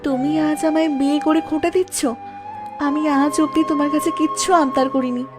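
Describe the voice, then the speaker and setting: An actress playing a patient, wronged young village wife in a cartoon, earnest and plaintive. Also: female voice, high-pitched, steady tone, normal speed, over music, close to an everyday voice. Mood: hurt, reproachful. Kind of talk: Bengali cartoon dialogue.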